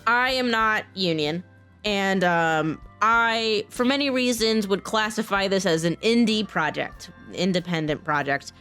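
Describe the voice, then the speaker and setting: A woman talking casually in a vlog announcement, informal and halting, often restarting her sentences. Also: mid-range voice, fairly bright tone, smooth, faint music behind. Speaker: voice actor, software engineer and game modder.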